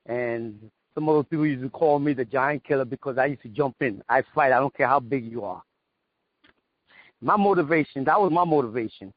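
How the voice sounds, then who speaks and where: A man in his sixties with a New York accent, reminiscing casually in a halting way and repeating phrases, on dull, dry call audio. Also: medium pitch. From New York, United States. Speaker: interview guest, veteran martial artist.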